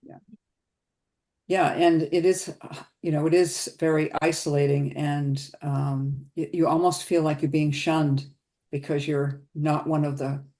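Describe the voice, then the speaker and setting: Woman host with a gravelly voice. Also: low voice, steady tone, a little slow, warm tone. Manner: conversational, with frequent agreeing interjections. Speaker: webinar host. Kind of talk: casual conversation.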